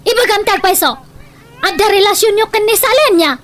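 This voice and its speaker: A woman's voice: very high voice, fairly quick, fairly bright tone. A woman with an Ilocano accent playing a jealous, suspicious, nagging wife in a comedic radio drama, whiny and accusatory. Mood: tearful, jealous. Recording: faint background noise.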